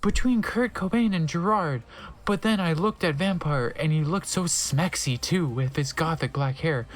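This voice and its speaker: A man's voice: on the high side, moderate pace. A man giving a theatrical, exaggerated comedic reading of fan fiction, breaking off now and then to react. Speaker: reader of a comedic dramatic reading.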